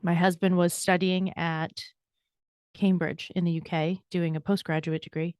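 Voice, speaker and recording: A woman in her forties, a burnout coach and guest on a podcast interview, telling her story in a candid, brisk, self-deprecating way. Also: lowish voice, flat delivery, a little mellow, dry recording, clean studio sound.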